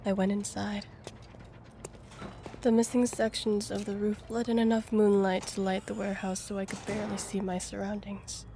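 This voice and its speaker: A woman playing an earnest, anxious young heroine, narrating her own story in a measured way for an audio drama. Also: medium pitch, gravelly, mellow, a little hiss or hum, close to an everyday voice. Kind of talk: scripted first-person audio drama narration.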